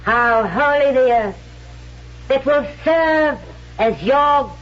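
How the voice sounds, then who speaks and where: A woman acting a stage actress who gives a fervent, measured, declamatory recitation of patriotic verse in a 1970s radio drama. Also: sits high, lively intonation, very noisy. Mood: solemn fervor. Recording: muffled vintage radio broadcast.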